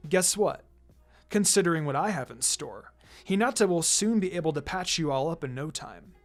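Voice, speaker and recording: Man voicing a calm, teasing ninja teacher. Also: a little high, normal speed. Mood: teasing menace. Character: calm, teasing adult male ninja teacher, quietly menacing. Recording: music behind the voice.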